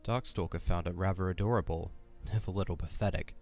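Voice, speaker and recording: Male narrator, deep register, scripted dramatic fantasy storytelling over a music bed. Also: fairly even intonation, a little fast, darkish tone.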